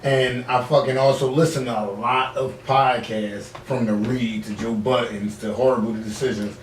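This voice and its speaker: A man hosting a casual podcast, talking in animated, profane slang. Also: mid-range voice, normal speed. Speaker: podcast host. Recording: roomy, echoey, distant mic, background noise.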